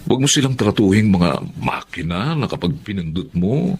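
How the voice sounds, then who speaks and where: Filipino older brother for radio drama, deep and lively, chatty, gently chiding, slight noise behind. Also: male voice, normal speed. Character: easygoing, frank older brother, teasing and advising. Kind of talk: Tagalog radio drama acting.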